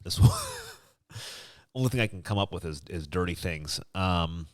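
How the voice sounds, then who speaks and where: An American man hosting a comedic podcast in a casual, joking and theatrically expressive way. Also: sits low, normal speed, dry recording, clean studio sound. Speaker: podcast host.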